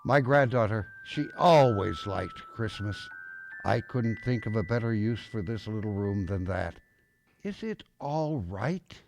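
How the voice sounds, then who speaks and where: A man playing a gruff old grandfather with a softening heart in an audio drama, speaking in a folksy, halting way. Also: on the low side, animated, soft background music. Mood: tender. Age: older.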